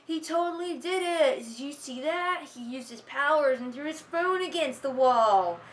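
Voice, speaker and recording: A woman's voice: sits high, lively intonation, moderate pace, slight background noise, pitched above the performer's own voice. A woman voicing a dim, jeering teenage boy bully with exaggerated mock amazement, in a storybook read-along.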